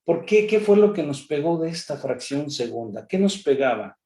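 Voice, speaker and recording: An older man with a Mexican accent giving an animated conference lecture on law, firing off rhetorical questions. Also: a little high, moderate pace. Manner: animated, rhetorical questions, addresses an imagined lawmaker. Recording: echoey room.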